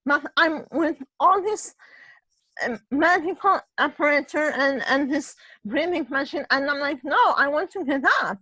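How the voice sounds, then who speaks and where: A woman from Poland with a Polish accent and a husky voice, telling a personal story in casual chat, halting and restarting her phrases and often adding "right?". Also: high voice, pushed and bright, no echo, low-quality recording. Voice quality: husky voice, ongoing voice problem.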